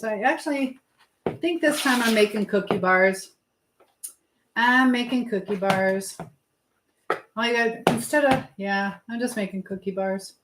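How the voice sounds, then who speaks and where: A woman chatting casually on a livestream as she bakes in her home kitchen, rambling and thinking aloud. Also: a little high. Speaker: livestream host. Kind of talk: casual live-stream chat while baking. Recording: noticeable background noise, clicks.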